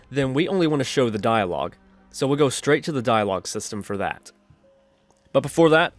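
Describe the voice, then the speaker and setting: A man with a medium-pitched voice presents a step-by-step software tutorial. His delivery is methodical and instructional, with faint music playing behind him. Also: no room sound. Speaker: programming tutorial host. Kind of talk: scripted tutorial narration.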